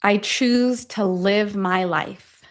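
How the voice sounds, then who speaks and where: A woman leading a guided affirmation meditation, speaking deliberately in short phrases with long pauses between them. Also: medium pitch. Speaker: guide of a self-affirmation tapping session.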